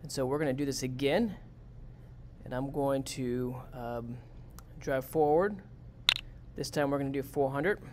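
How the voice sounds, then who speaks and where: A male teacher explaining a coding exercise step by step in a plain, practical way. His voice sits at an ordinary middle pitch. A faint hiss runs under his computer-mic recording. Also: a little slow. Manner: plain, step-by-step, talks students through it. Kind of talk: screen-recorded coding lesson.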